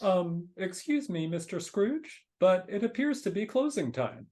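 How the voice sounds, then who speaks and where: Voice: medium pitch, lively intonation, unhurried, warm tone, natural voice. A performer reading a meek, polite male frog clerk, hesitant and deferential, in a live dramatic reading. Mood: timid. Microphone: video call.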